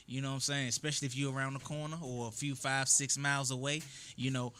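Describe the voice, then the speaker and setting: Bright-voiced man telling stories. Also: medium pitch, fast, slight background noise. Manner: animated storytelling, frequent "you know what I'm saying". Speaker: podcast host. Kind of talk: casual podcast chat.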